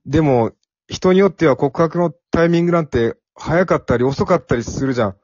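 A man's voice: on the high side, fairly quick, a little mellow, slightly rough, no echo, muffled recording. A man playing a timid, earnest young office worker in an amateur radio drama, with plain boyish phrasing. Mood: hesitant.

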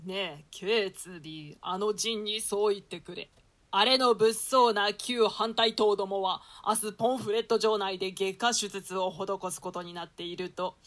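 A woman's voice: medium pitch, fairly even intonation, normal speed, close to an everyday voice. A woman performing a sly, ruthless duke who plots for power, in a theatrical Shakespeare reading. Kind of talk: theatrical Shakespeare reading in archaic Japanese translation.

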